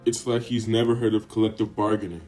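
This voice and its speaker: A man's voice: fairly low pitch, flat delivery, fast talker, darkish tone, soft background music, lightly acted, rough recording. A man playing an indignant, self-justifying hired assassin in a comic radio play, earnest and complaining.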